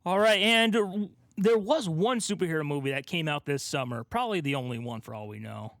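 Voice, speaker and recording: A man podcast co-host chatting casually about movies, telling things in an animated way and acting out his own reactions. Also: a little high, fairly quick, dry recording, studio quality.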